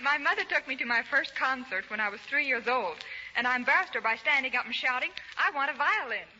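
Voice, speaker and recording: A woman's voice: sits high, lively intonation, fast talker. A twenty-year-old woman with an American accent, from Colorado, telling about herself as a contestant on a 1930s radio show. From Denver, Colorado, USA. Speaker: amateur contestant, violinist. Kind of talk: 1930s radio interview anecdote. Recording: noisy, dull 1930s radio broadcast.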